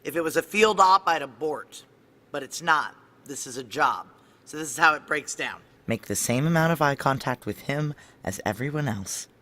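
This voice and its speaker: Security chief, female, noir radio play. Tough, wry, clipped, low forceful voice. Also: expressive, normal speed, exaggerated voice. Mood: firm, commanding. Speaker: lead actor and narrator. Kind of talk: noir audio drama narration and dialogue.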